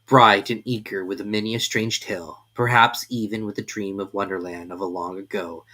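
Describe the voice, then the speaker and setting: A man giving an expressive, measured dramatic reading of a classic tale. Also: on the low side. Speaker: storybook reader.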